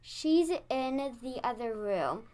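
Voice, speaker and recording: Slow, high-voiced coaxing friend, played by a schoolgirl. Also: sing-song, darkish tone. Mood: prodding. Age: child, about 9 or 10. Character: prodding, sociable woman friend of a young wife. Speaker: student actor in a school radio play. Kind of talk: radio play acting.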